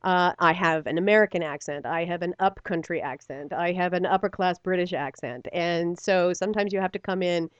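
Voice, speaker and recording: A woman's voice: on the low side, a little fast, hardly any pauses, clean tone, no echo, muffled recording. A middle-aged woman, a stage actor, chatting animatedly in an interview, laughing often and using many fillers. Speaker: stage actor and theater company member. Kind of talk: radio interview, casual chat.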